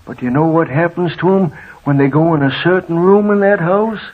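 An actor playing a fearful, stubborn small-town American local telling ominous tales in folksy 1940s radio drama style. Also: male voice, on the high side, a little fast, slightly rough. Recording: tinny, muffled old radio recording.

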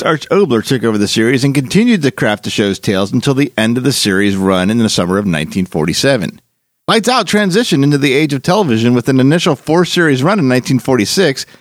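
A man hosting with scripted narration, measured and given to dramatic emphasis. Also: mid-range voice, no room sound, studio quality. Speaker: host. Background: music.

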